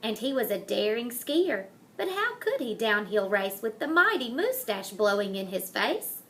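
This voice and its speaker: Animated, high-pitched woman reading a storybook. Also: a little hiss or hum. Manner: dramatic, deliberate, savors rhymes and wordplay. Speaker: storybook reader. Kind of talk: expressive children's picture-book read-aloud.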